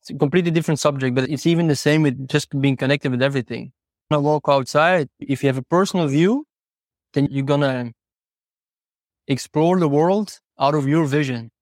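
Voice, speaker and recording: A man's voice: fairly high pitch, fairly quick, no echo, studio quality. A man who is not a native English speaker, talking reflectively and earnestly as an interview guest, explaining ideas through personal stories and rhetorical questions. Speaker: horse trainer and podcast guest.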